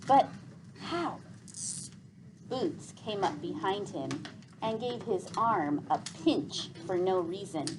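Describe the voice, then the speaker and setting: A woman storyteller reading a children's book aloud with expressive narration. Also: mid-range voice, slow, noisy background.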